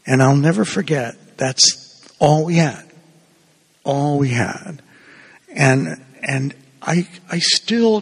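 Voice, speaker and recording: Man, Cuban-born priest, husky voice, long church reverb. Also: mid-range voice, a bit bright. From Cuba. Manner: conversational, digressive preaching with asides. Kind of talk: homily.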